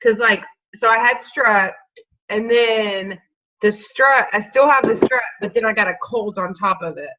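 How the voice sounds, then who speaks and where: A woman's voice: medium pitch, measured pace, sharp tone, dull audio. A woman with a stuffed-up cold, chatting casually and rambling as a panel member. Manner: rambling, informal. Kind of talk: casual chat.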